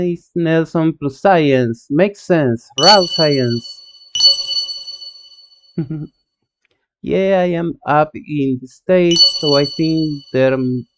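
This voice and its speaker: A man from Argentina with an Argentine Spanish accent, a native Spanish speaker live-streaming his coding and reading chat, talking in halting phrases with many fillers and restarts. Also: fairly high pitch, a little mellow.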